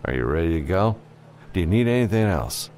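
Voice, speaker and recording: A man voicing a gruff, reluctant freelance spaceship captain, curt and businesslike, in a science fiction audiobook. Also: deep voice, sing-song, a little fast, darkish tone, soft background music. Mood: brisk, practical.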